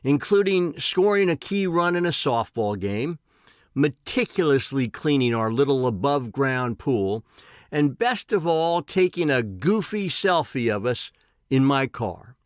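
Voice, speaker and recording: A man's voice: medium pitch, lively intonation, a little slow, no room sound, clean studio sound. A man in his sixties reading his own personal essay aloud. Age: 60s.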